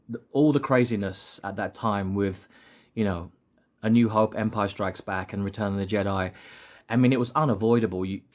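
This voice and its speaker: A man in his late forties hosting a casual vlog, joking and rambling as he often restarts his sentences. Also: medium pitch. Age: 40s. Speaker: action figure seller and channel host.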